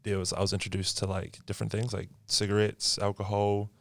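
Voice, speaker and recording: Young NZ guy, very low and even voice, dry close sound, earnest storyteller. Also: a little fast, vocal fry, dry recording. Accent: New Zealand. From New Zealand. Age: 20s. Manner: earnest, reflective storytelling with frequent "like". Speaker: podcast guest. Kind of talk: casual chat.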